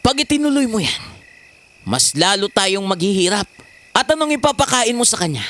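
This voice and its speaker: An actor with a Filipino accent playing a harsh, quick-tempered husband and father in an audio drama, gruff and scolding. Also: sits high, big pitch swings, pushed and bright, full character voice. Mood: angry. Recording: music bed under the voice.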